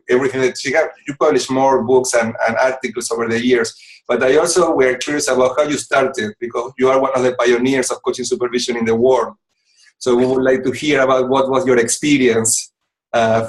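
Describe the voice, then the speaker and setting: A man's voice: medium pitch, poor audio. Man, Argentine accent, host, video call mic, casual, restarts often. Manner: friendly, halting, frequent restarts. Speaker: host of a supervisors' network call.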